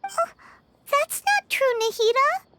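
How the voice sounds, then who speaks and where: A woman's voice: animated, slow pace, sharp tone, full character voice. A woman voicing a tiny, chirpy fairy companion in a video game. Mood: surprised, eager. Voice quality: squeaky, childlike. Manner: exclamatory, refers to herself by name. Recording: background music under clean studio voice.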